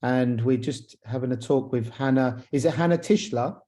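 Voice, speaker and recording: Casting: male interviewer, dark mellow voice, mid pitch, tentative and conversational, hosting a casual online chat. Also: moderate pace. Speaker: interviewer, awards chair. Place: video call.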